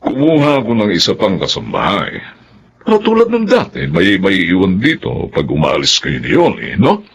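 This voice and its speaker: Gentle older dad, radio drama: low, very husky, slow, widely swooping intonation. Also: male voice, huge pitch swings, a little hiss or hum, exaggerated voice. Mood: fatherly. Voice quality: raspy, gravelly. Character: fatherly older widowed businessman, gentle with his daughter. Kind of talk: Filipino radio drama acting.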